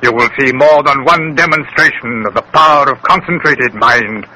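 An actor playing a grave, assured colonel in a 1930s radio drama, speaking in a formal, declamatory, lecturing way. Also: male voice, mid-range voice, moderate pace, sharp tone. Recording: noisy 1930s radio recording.

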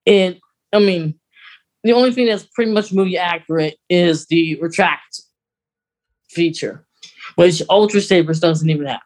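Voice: mid-range voice, moderate pace, long pauses, a little mellow. A podcast host talking through fan news in a casual, conversational chat.

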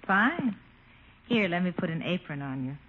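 A woman's voice: lowish voice, sing-song, moderate pace. An actress playing a gracious, dreamy, genteel lady friend in a 1950s radio comedy. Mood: friendly, domestic. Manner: leisurely, genteel, wistful. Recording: noisy muffled old radio, audience behind.

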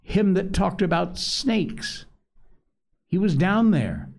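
A man's voice: high-pitched, sing-song, takes it slowly, creaky, a little mellow, pitched above the performer's own voice, heavily acted. A man voicing an anxious, whiny chubby schoolboy with glasses, who pleads, stammers and gasps for breath. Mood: panicked.

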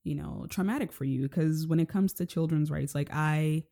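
Woman from kenya, podcast host, soft mellow voice, rambling gentle chat. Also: sits low, moderate pace, vocal fry. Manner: gentle, rambling, frequent "like" and "you know". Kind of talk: casual interview.

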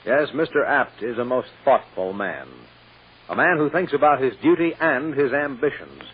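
A man's voice: medium pitch, lively intonation, normal speed, close to an everyday voice. A man narrating an old radio serial as its solemn, dramatic announcer. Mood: grave. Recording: muffled, noisy old radio recording.

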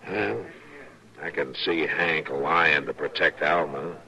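An actor playing a patient, plainspoken frontier marshal with calm authority in an old radio western. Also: male voice, extremely low pitch, creaky, full character voice. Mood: thoughtful. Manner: calm, measured, folksy authority. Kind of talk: 1950s radio western acting. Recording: muffled old radio recording.

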